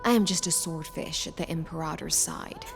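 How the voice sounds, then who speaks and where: Low-voiced, courtly female knight commander. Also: creaky, music in the background. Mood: courtly, composed. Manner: formal, measured, courtly. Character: composed proud female knight commander, loyal imperial servant. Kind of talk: scripted game dialogue.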